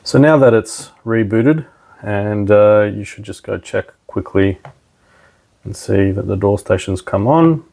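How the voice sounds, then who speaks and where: A man's voice: on the low side, unhurried, creaky, warm tone. A man presenting a hands-on product tutorial, talking informally and instructing step by step as a trainer. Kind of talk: casual hands-on tutorial narration.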